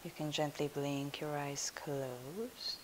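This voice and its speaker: A woman leading a guided yoga nidra meditation as a teacher, in a soothing, hushed, unhurried manner. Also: deep voice. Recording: steady hiss.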